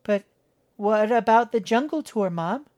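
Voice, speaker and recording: A man's voice: high-pitched, lively intonation, measured pace, a little mellow, dull audio, pitched above the performer's own voice. A man doing a pleading little girl. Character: young girl, pleading and stubborn.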